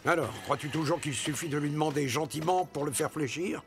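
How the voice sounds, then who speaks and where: A man plays a stern older nobleman in a cartoon. The voice is raised and gravelly, earnest and righteous in its delivery. Music sits behind it. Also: fairly high pitch, fairly quick, lightly acted. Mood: reproving. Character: proud, indignant older nobleman, a stern father. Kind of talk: animated cartoon acting.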